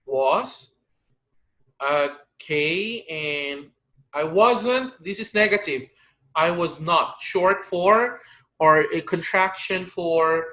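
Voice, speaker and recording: A man teaching an online English grammar lesson, slowly drilling verb forms pronoun by pronoun over a video call. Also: high voice, bright, forceful tone.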